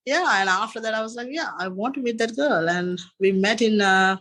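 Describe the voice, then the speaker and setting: Man, Sri Lankan accent, thirties, bright tone, rambling casual storytelling, podcast guest. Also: high-pitched, brisk. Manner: rambling, repeats "and after that" and "you know". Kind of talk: casual interview chat.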